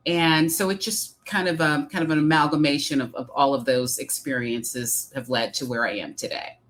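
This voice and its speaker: A middle-aged woman from Detroit with a Midwestern accent, speaking as an interview guest in a measured, reflective way. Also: deep voice, fairly even intonation, a bit bright. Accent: Midwestern American. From Detroit, Michigan. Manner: measured, reflective, many um fillers. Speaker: guest, executive coach. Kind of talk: interview conversation.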